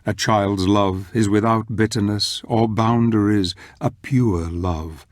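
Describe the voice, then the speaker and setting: A man playing a grave, wistful narrator of a dark video game tale, measured and theatrical. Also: on the low side, steady tone, no echo, clean studio sound. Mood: brooding. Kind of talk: scripted dramatic narration.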